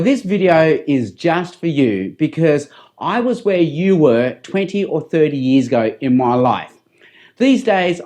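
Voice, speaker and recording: A middle-aged Australian man with a fairly high voice speaks straight to the viewer. He gives a personal testimony in an earnest, deliberate manner, like a practised public speaker. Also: a little mellow. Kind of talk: testimony addressed to camera.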